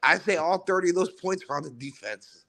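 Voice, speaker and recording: A man co-hosting a rowdy sports podcast, talking loud, brash trash talk. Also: high voice, expressive, brisk, gravelly, a crowd in the background. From Cuban and Italian heritage. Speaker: podcast co-host.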